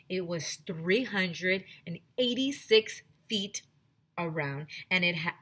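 A woman in her thirties, a teacher on a headset mic, reading a chapter book aloud to children with lively, expressive storytelling. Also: fairly low pitch. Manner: expressive storytelling, addresses kids directly. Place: small home room with classroom decorations.

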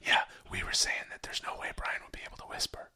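A man's voice: fast talker, sharp tone. A man co-hosting a comedy podcast, whispering nearly everything in a playfully mock-annoyed way. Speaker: podcast co-host.

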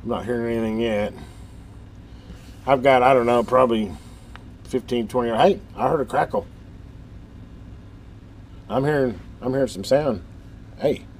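A man's voice: medium pitch, measured pace, pauses often. A middle-aged man giving casual hands-on repair commentary in a folksy, rambling way, as if thinking aloud. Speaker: host of a radio repair channel. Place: workshop in a metal building. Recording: background noise in a workshop.

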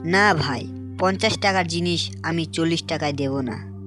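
The brusque keeper of a cartoon village grocery who won't drop a coin a woman acting, deep-pitched under loud music. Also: moderate pace, lightly acted. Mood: businesslike. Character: blunt, hard-bargaining shopkeeper in a folk tale. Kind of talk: cartoon story dubbing.

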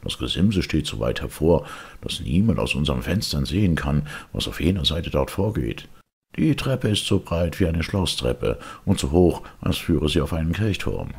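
Haughty storybook house voiced by a man, indignant neighbour type, husky and very animated. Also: very deep voice, moderate pace, exaggerated voice. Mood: indignant, scornful. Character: snooty disapproving new houses grumbling as neighbours.

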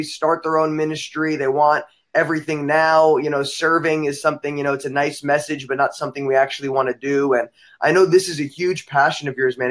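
Chatty thirty-year-old male host, enthusiastic, slightly higher voice. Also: fairly bright tone. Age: 30s. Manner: enthusiastic, chatty, uses "man" and "bro". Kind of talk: casual interview chat.

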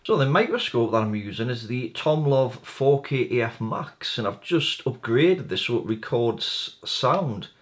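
A man's voice: mid-range voice, very animated, measured pace, few pauses. A man giving a casual hands-on repair walkthrough, informally explaining each step and thinking aloud. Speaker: hobbyist electronics repair presenter.

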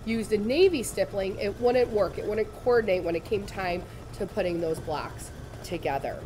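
A woman, slightly raspy from laryngitis, giving a chatty, upbeat live sewing tutorial in a studio. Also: medium pitch, a little hiss or hum. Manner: chatty, upbeat, addresses viewers directly. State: recovering from lost voice. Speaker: embroidery demonstrator. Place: studio set with shelves, indoors.

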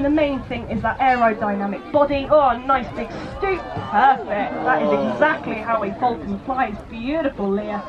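A woman's voice: a little high, lo-fi sound. A woman giving live commentary as a falconry presenter at an outdoor display. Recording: crowd and outdoor noise, filmed from audience.

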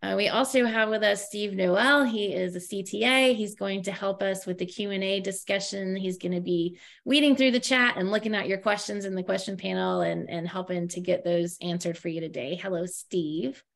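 A woman's voice: medium pitch, fairly even intonation, normal speed, gravelly, few pauses, a bit bright. A woman hosting a webinar, giving announcements in an informal way with frequent fillers over a video call. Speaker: webinar host.

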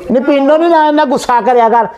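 A man's voice: very high-pitched, fairly quick, darkish tone, dull audio. A man playing a bossy, scolding household guru. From Punjab, Pakistan. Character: bossy middle-aged transgender household guru. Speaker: head of the house, called guru. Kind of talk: Punjabi comedy sketch. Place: small bedroom indoors.

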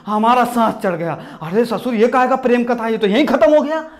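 A young man doing stand-up, retelling a movie in a rustic storyteller's manner. He shouts at the characters and acts them all out, recorded on a lapel mic in a small room. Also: very high-pitched, fairly quick. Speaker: stand-up comedian. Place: small indoor room with posters. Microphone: lapel mic, camera at mid distance.

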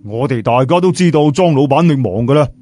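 A man's voice: mid-range voice, animated, brisk, dark tone, soft background music, natural voice. A man with a Cantonese accent voicing a jovial, deferential businessman of about fifty in an audio story. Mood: delighted, deferential.

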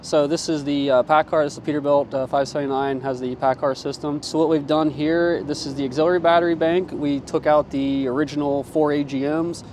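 A man's voice: a little high, fairly even intonation, brisk, few pauses, darkish tone. A man in his forties with an American accent, giving an informal, plain-spoken product demonstration to camera as an installer. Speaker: presenter and installer. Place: outdoors on a gravel truck lot. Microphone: clip-on lapel mic. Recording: outdoor ambient noise.

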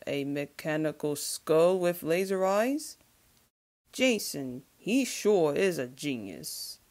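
A woman as a jaunty, mannered young gentleman. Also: lowish voice, heavily acted. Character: jaunty, polite young man who loves trains and tea.

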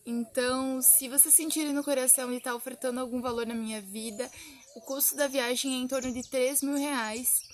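A woman's voice: high-pitched, steady tone, brisk. A young adult woman with a Brazilian accent making an informal video appeal to viewers from a small room indoors. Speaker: missionary fundraiser.

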